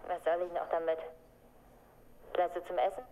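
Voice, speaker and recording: A woman's voice: lowish voice, flat delivery, normal speed, warm tone, slight background noise, lightly acted, low-quality recording. An actress playing a probing, matter-of-fact woman in a naturalistic radio drama, speaking in a terse, pressing way. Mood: reproachful, weary.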